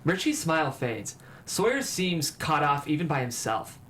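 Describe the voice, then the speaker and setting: A man's voice: on the high side, sing-song, moderate pace, fairly bright tone. A man reading scripted stage directions as the narrator of a table read. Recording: clear background noise.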